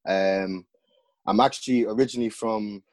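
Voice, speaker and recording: Low-voiced young man telling stories. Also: moderate pace, no room sound. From Netherlands. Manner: relaxed storytelling, slangy with many fillers. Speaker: podcast guest, upcoming music artist. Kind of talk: casual podcast chat.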